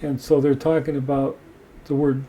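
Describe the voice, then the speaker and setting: A man hosting a podcast, reading passages from a book aloud and discussing them in a measured way. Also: medium pitch, level tone, warm tone, lo-fi sound, slight background noise. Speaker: podcast host.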